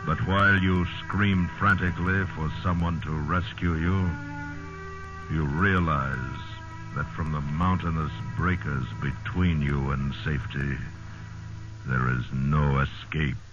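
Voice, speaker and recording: A grave storyteller narrates a sea survival tale in very low, solemn, measured tones. The style is dramatic 1950s radio. The recording is dull and old, with loud music underneath. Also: male voice, fairly even intonation. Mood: grave, urgent.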